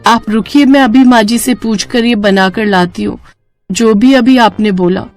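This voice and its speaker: A woman's voice: fairly high pitch, a little slow, a little mellow, faint music behind, close to an everyday voice. A woman voicing a timid, naive, unschooled young wife who talks hesitantly and pleadingly in a cartoon story. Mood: nervous, naive.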